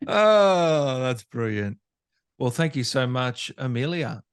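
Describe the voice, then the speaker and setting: A man's voice: mid-range voice, lively intonation, slow, clean tone. A man hosting an interview in a casual, enthusiastic and appreciative chatting style. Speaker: podcast interview host.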